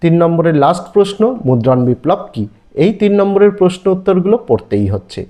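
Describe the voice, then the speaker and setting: A man with an Indian Bengali accent teaches online, reading questions out one after another. His voice sits fairly high and swings widely in pitch, with a soft, mellow tone. He sounds instructional and emphatic, with a repetitive list-like rhythm. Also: very animated, fast talker. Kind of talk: exam-prep lesson, reading out listed questions.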